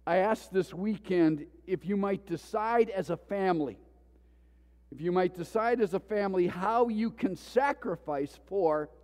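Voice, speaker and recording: A male pastor preaching a sermon with passion, swinging between loud pleas and hushed tones. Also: high-pitched, in a large hall. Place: church sanctuary.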